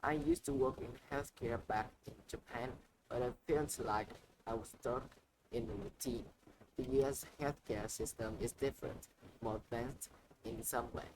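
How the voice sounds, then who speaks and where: A man voicing Kendrick, an earnest male guest who works in healthcare, speaking in a measured, slightly raised way. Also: medium pitch, very animated, gravelly. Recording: noisy ambient background.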